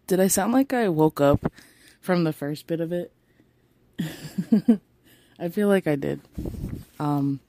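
A tired woman talks slowly into her phone, her raspy, gravelly voice low and mellow. She rambles on a solo podcast, flirting playfully and apologizing often. The sound is close and dry. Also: a little hiss or hum. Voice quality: raspy and deep. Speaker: podcast host. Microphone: phone held close.

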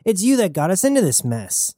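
Brash crewwoman, scornful and high-pitched, fires off insults fast; a narrator puts her on. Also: big pitch swings, dark tone, dry recording, clean studio sound. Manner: scornful, combative. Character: brash foul-mouthed woman crew member.

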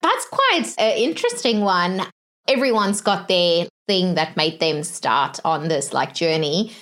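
A woman's voice: fairly low pitch, very animated, a little slow, a bit bright. A woman from South Africa hosting an interview, chatting casually with lots of "like" and "you know.". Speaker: interviewer, host.

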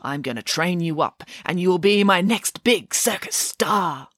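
A woman's voice: fairly low pitch, lively intonation, measured pace, a bit bright, no room sound, studio quality. A woman voicing a greedy, bossy male circus ringmaster, a scheming and domineering storybook villain. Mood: scheming, stern.

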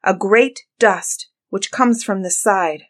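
A woman voicing an anxious, watchful sister in a fairy tale, reporting in a measured, plaintive manner. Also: mid-range voice, a bit bright. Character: anxious sister Anne, watchful lookout on tower. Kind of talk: dramatized fairy-tale storybook reading.

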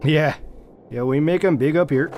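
A man's voice: fairly high pitch, normal speed, background noise, pitched above the performer's own voice, lightly acted. A man voicing a cautious, earnest Canadian Mountie in an audio drama, explaining himself with hesitant stammers. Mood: matter-of-fact.

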